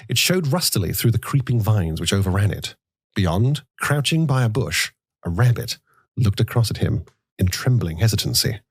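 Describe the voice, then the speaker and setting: A man narrating a literary audiobook in a measured, dramatic storytelling manner. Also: lowish voice, husky, no echo.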